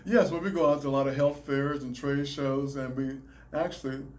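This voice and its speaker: A man with a Southern US accent, a chiropractor, answering interview questions in a measured, explanatory way. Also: medium pitch, steady tone. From Alabama, raised in South Carolina. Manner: measured, explanatory, some restarts. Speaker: chiropractor, guest.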